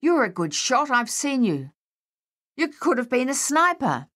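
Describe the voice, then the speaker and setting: A woman's voice: medium pitch, measured pace, a bit bright, close to an everyday voice. Woman voicing a desperate, shell-shocked Australian soldier. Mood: urgent pleading. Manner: anguished, insistent, rambling. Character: shell-shocked, grief-stricken young Australian male soldier, desperate and bitter. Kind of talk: audiobook character voice.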